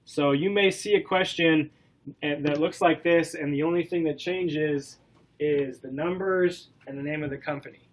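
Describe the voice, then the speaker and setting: A man with a cold and a stuffy nose, giving a classroom lecture in a relaxed way, with many ums and questions to the class. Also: fairly high pitch, measured pace, low-quality recording. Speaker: college instructor.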